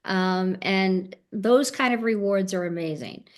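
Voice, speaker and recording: A woman's voice: medium pitch, a little slow. A woman speaking as a panelist on a video call, telling fond stories about her work as a tour director. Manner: earnest, fond storytelling with fillers. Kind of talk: casual webinar chat.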